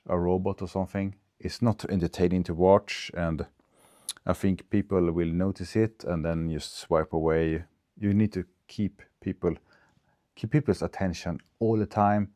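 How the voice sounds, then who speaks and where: A low, mellow male voice with a clear Swedish accent, musing to camera in unscripted English. He is in his forties and hesitant, filling gaps with ums and restarting his sentences. The sound is close and dry, from a small clip-on mic. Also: no room sound. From Sweden. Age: 40s. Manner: thinking aloud, halting with fillers and restarts. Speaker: vlogger. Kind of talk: unscripted casual vlog. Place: recorded on a phone camera. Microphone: small clip-on wireless mic.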